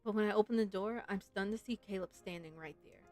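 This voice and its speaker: A woman's voice: medium pitch, quick pace, darkish tone. A woman streamer giving casual, musing gameplay commentary and reading story text aloud. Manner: informal, musing, frequent "like". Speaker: game streamer. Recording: game music behind the voice.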